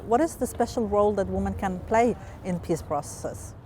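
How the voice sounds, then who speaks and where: A woman hosts an interview, asking her questions in a conversational way. Her pitch is average for a woman. There is faint ambient noise in the background. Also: moderate pace, mellow. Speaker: interviewer, dialogue expert.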